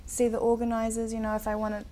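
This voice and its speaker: A woman in her twenties from South Africa, Afrikaans her first language, chatting earnestly and brightly as an interview guest. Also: on the high side, completely flat delivery, quick pace, slight background noise. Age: 20s. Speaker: interview guest, pageant titleholder. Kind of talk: casual interview chat.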